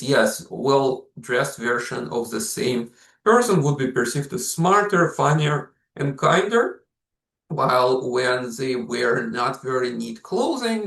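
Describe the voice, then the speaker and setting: A Russian-accented man lectures on psychology with a lively, rising-and-falling delivery. He explains things step by step, often pausing on "so" and "uh". The recording carries a noticeable roomy echo. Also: on the high side. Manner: explanatory, uses frequent "so", "uh" and "like". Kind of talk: university lecture.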